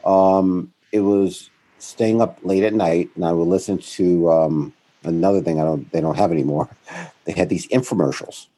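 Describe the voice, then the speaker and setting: Middle-aged man, interview guest; deep husky voice, rambling, self-correcting delivery. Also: normal speed. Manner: rambling, self-correcting, animated when quoting others. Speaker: guest, founder of a financial firm. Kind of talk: conversational interview storytelling.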